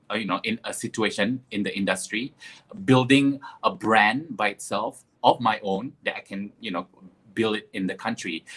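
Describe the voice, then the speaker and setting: Male pageant director from Sarawak, low voice, halting interview replies. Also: a bit bright, breathy edge. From Sarawak, East Malaysia. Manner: hesitant, many fillers and restarts. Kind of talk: interview answers.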